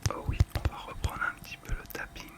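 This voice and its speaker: A man speaking in a breathy ASMR whisper, gently describing things in an unhurried, slightly hesitant way. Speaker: ASMR video creator.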